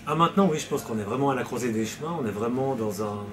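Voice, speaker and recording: A man's voice: mid-range voice, quick pace, noisy background. A man from Switzerland, a novelist answering interview questions in a hesitant way, with many fillers and restarts.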